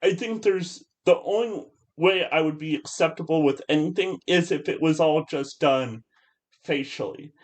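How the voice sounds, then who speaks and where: A man's voice: fairly high pitch, normal speed, darkish tone. A man co-hosting a podcast, chatting casually about films in a hesitant way, circling back and repeating his phrases. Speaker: podcast co-host. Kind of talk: casual film discussion chat.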